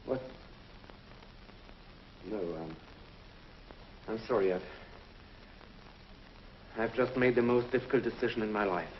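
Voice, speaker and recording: An actor playing a grave, hesitant galactic commissioner in a 1950s TV drama, on a muffled old soundtrack. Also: male voice, lowish voice, unhurried, long pauses, slight background noise, natural voice. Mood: solemn. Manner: hesitant, solemn.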